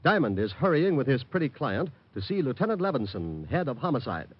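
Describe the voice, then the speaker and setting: A man with an American accent reading scripted announcements in a formal, measured 1940s radio style. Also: medium pitch, very animated. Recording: dull vintage radio broadcast.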